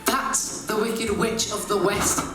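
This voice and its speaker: A woman's voice: mid-range voice, steady tone, takes it slowly, close to an everyday voice. A teenage girl playing a gloating, bossy evil queen in a stage pantomime. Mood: scornful. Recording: echoey stage hall, music and audience.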